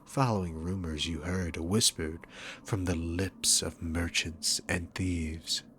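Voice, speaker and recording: A man's voice: sits low, steady tone, slow, a bit bright, a little hiss or hum. A man voicing a dominant, sarcastic demon king villain in an ASMR roleplay, talking to the listener with mocking, theatrical condescension. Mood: smug, boastful.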